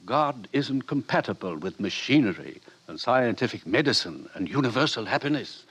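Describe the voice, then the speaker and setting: An actor playing an urbane, condescending middle-aged world controller in a 1950s radio drama, lecturing articulately. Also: male voice, mid-range voice, big pitch swings, moderate pace, a bit bright, breathy edge. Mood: smug, reasoning.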